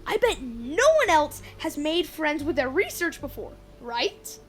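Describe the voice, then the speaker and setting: An outgoing preteen boy in a children's audio drama. The voice is very high and lively, chatty and exclamatory, with music clearly behind it. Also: measured pace. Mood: encouraging. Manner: casual, exclamatory kid talk. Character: outgoing, warm-hearted preteen human boy camper.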